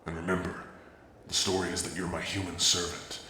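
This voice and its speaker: Give us a brash dragon heir, voiced by a man who teases and shields the listener at once. His voice sits low and bright with real force. The ASMR roleplay sounds recorded in a roomy, echoing space with faint ambience behind it. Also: little pitch movement, fairly quick, slight background noise. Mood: cocky. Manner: cocky, teasing and protective. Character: brash young male dragon prince, future king.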